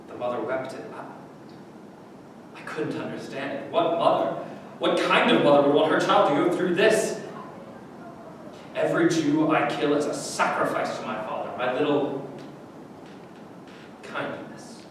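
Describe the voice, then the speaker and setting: An actor in a staged reading playing a menacing, bitter Nazi camp guard with a brooding, volatile way of talking. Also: male voice, close to an everyday voice. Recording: echoey hall from far off, noisy rumble.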